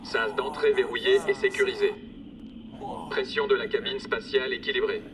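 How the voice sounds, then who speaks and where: A man's voice: mid-range voice, huge pitch swings, moderate pace, over music. A man voicing an official spaceflight controller in a cartoon, formal and procedural. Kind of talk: cartoon dubbing.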